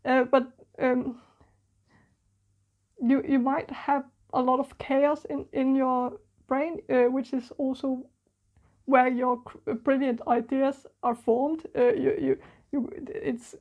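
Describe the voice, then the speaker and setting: A woman's voice: sits high, steady tone, slow pace, lo-fi sound. A woman giving a channeled tarot reading, for whom English is a third language, speaking hesitantly with many fillers and restarts. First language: not English. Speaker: tarot reader.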